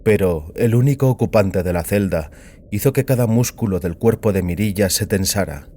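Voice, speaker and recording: A man's voice: fairly low pitch, moderate pace, dark tone, slight background noise. A man giving a scripted narration of a science fiction audiobook. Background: music.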